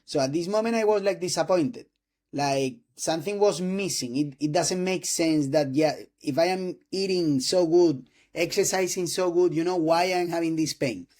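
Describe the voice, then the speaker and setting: A man in his twenties from Spain, speaking English with a Spanish accent as a non-native speaker, hosting an interview with halting restarts and frequent throat clearing. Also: a little high, a little mellow. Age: 20s. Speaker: host interviewing a doctor. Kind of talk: casual interview talk.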